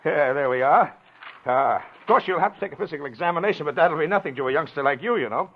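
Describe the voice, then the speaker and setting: A man's voice: fairly high pitch, expressive, fast, a little hiss or hum. An American actor playing a fussy, pushy insurance salesman in 1940s radio drama, stammering, bumbling and repeating himself. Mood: flustered, pushy. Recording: dull 1940s radio recording.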